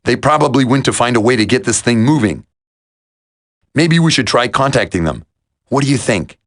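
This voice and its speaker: A man's voice: medium pitch, lively intonation, moderate pace, fairly bright tone. A man voicing a friendly, practical young soldier teammate in a video game. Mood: calm, practical.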